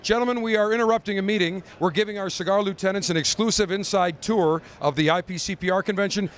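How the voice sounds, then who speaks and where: A middle-aged man with a notably high voice runs a live roving tour, a brash, joking showman who teases his guests and keeps things moving. He talks into a handheld mic on the floor of a big indoor convention hall. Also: fast. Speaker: radio show host touring a trade show. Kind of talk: live roving interviews and walkthrough. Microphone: handheld interview mic.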